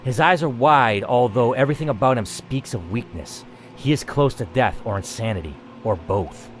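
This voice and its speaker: A man's voice: medium pitch, normal speed, slight background noise. A man reading a first-person game story aloud as the player, in a dramatic narrating style.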